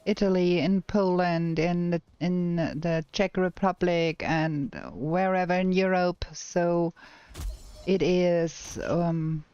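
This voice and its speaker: A woman with a German accent thinks aloud through a game stream and talks to her chat. Her voice is smooth and a little low, and she speaks at an unhurried pace. The recording sounds somewhat dull and muffled. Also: fairly even intonation, dark tone. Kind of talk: casual gameplay commentary.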